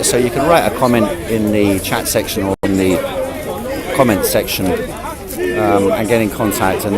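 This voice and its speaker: Brisk male livestream host among crowds. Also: lowish voice. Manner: brisk, friendly, with short acknowledgements. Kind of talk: casual street interviewing and livestream chat. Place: busy outdoor public park. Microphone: phone livestream. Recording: loud crowd outdoors.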